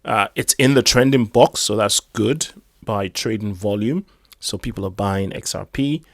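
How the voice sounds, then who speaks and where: A hoarse man giving rambling, casual commentary on crypto news. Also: lowish voice, a little slow, no room sound, clean studio sound. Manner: rambling, chatty asides.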